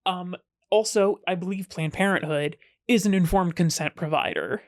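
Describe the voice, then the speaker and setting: A woman talking as a podcast guest, casual and self-deprecating, sometimes jumping up in pitch when she jokes. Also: normal speed, dry recording. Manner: chatty and self-deprecating, with sudden playful jumps in pitch.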